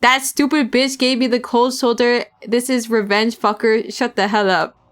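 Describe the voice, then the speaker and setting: A woman's voice: a little high, flat delivery, normal speed, close to an everyday voice. A woman voicing a pack of vicious young male thugs, snarling and profane in a storybook reading. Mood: enraged.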